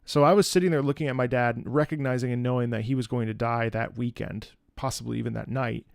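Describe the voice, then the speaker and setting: A man giving casual, candid reaction commentary as a host, thinking aloud in a halting, reflective way. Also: medium pitch, steady tone, vocal fry, clean tone, no echo, clean studio sound. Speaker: host, opera singer reacting to a game.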